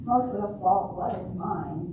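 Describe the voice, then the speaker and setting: A woman's voice: mid-range voice, takes it slowly, close to an everyday voice. An older woman playing a disillusioned, wry Cinderella in an amateur stage reading, with a dry, sardonic delivery. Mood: wry, bitter. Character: jaded, wry grown-up Cinderella, disillusioned with romance. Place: echoey room at a retirement community event. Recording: very echoey room, distant mic, hissy noise.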